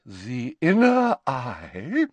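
A man voicing an eccentric, haughty middle-aged woman seer, speaking in a high, theatrical, mystical manner. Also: very animated, a little slow, dry recording.

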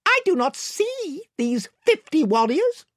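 A very high, proud storybook mouse warrior. He is formal and chivalrous, with haughty, swooping delivery. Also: big pitch swings, slow pace, a bit bright, dry recording, studio quality, heavily acted. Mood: proud, defiant. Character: gallant, haughty, high-pitched talking mouse warrior, male.

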